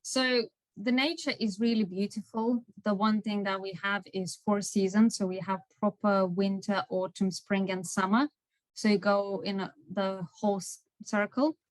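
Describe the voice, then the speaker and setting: A young woman with a Lithuanian accent answers interview questions in a careful, hesitant way. Her voice sits at an ordinary pitch and sounds close and dry, with no room around it. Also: steady tone, moderate pace, no echo, low-quality recording. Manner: thoughtful, hesitant. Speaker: guest.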